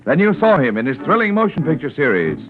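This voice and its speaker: A man's voice: on the high side, huge pitch swings, quick pace. A man announcing in 1940s radio style, with an emphatic, salesmanlike delivery. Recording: tinny old radio recording, music behind.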